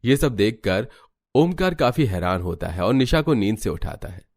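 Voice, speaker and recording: A man reading scripted narration for a Hindi animated story as its narrator. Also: fairly low pitch, normal speed.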